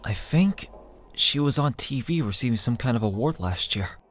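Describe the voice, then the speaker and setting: Animated, brash teenage boy acted by a man. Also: medium pitch, moderate pace, a little hiss or hum, lightly acted. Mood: puzzled. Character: blunt, brash teenage schoolboy. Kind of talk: anime game fan dub acting.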